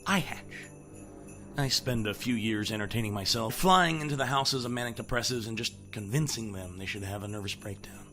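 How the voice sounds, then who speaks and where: A man's voice: fairly low pitch, fairly quick, sharp tone, soft background music. A man voicing a boastful, sarcastic bird who narrates a comic audio drama in a flippant, theatrical way. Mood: cocky, theatrical.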